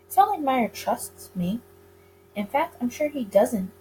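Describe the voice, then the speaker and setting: Woman, slightly high voice, visual novel narration, stumbling reader, noisy home recording. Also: big pitch swings, a little slow, mellow. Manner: stumbles over words and rereads lines. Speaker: gamer reading a visual novel aloud. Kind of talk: let's play narration, reading story text aloud. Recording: clear background noise.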